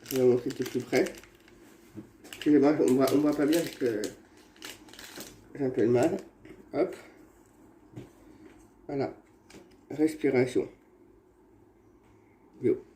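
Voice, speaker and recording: A man vlogging at home while he has a cold, showing his remedies in a halting, rambling way that repeats itself. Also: medium pitch, mellow. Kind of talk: casual home how-to vlog. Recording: echoey room with faint background noise.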